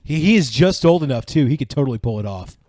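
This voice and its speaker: A man co-hosting a podcast with excitable, joking, slangy banter and casual swearing. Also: mid-range voice, fast talker, no room sound, clean studio sound. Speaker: podcast co-host.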